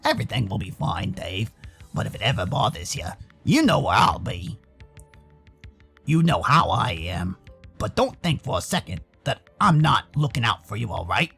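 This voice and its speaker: Man putting on a deep laid-back guy, soft, unhurried, caring, teasing, visual novel character. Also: very animated, gravelly, breathy edge, soft background music, heavily acted. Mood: reassuring. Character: laid-back, protective young male friend, teasing and caring.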